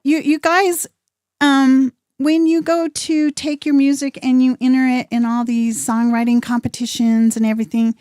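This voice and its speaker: A woman's voice: high voice, flat delivery, normal speed, darkish tone, smooth, no room sound, clean studio sound. A woman songwriter talking about her music as a guest on a radio show, chatty and hesitant with many "um"s. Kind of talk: casual interview chat. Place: radio studio.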